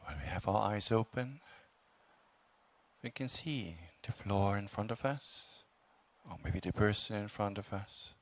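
A man with a low-pitched voice teaches meditation. He speaks calmly and without hurry, giving gentle instructions in short phrases and leaving long pauses between them. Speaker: meditation teacher, Buddhist monk.

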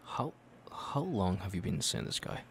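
A man acting a flustered, jealous young best friend in an intimate roleplay, with halting, stammering speech and sighs. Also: low-pitched, sing-song, creaky, a little hiss or hum, exaggerated voice. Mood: jealous, unsettled. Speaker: roleplay audio performer.

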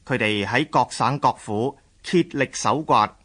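A man with a Hong Kong Cantonese accent, narrating a scripted historical radio drama in a measured storytelling manner. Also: mid-range voice, a bit bright.

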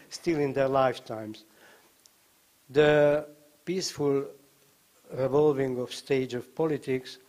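An older man on a conference panel talks into a handheld mic in a middle-pitched voice. He is thoughtful and wry, circling back on repeated words while he finds his point. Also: darkish tone. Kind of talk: panel discussion remarks. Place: conference stage with banner. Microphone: handheld microphone.

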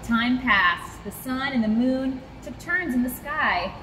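A young woman reads a picture book to children in an expressive, fairly high voice. She is recorded a short way from the camera, with clear ambient noise around her. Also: slow pace, big-room sound, poor audio. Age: young adult. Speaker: zoo staff storyteller. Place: in front of a rock wall at a zoo.

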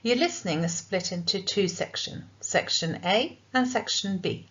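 A woman who leads a school languages department, presenting course information in a clear, explanatory way. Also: mid-range voice, animated, moderate pace, a bit bright, lo-fi sound. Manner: clear, explanatory teacher delivery. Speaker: subject leader for languages.

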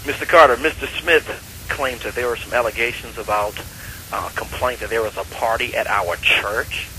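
Firm, bright-voiced man on the phone. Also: mid-range voice, fairly even intonation, moderate pace. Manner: firm, questioning. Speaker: church phone answerer. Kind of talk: phone conversation. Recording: slightly noisy phone call recording.

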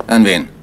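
A man playing a menacing, sardonic crime boss in a 1970s German radio play, mocking and commanding. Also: slight background noise.

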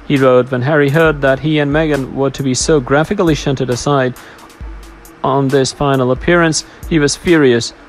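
A man's voice: mid-range voice, fairly even intonation, normal speed. A man reading a scripted royal news narration, stumbling now and then as he reads. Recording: music behind the voice. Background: background music.

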